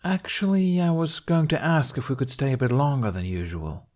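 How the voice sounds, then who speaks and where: A man voicing an excitable, cheeky teenage boy in a storybook reading, eager and a little hesitant. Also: medium pitch, moderate pace, pitched above the performer's own voice, lightly acted. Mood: protesting.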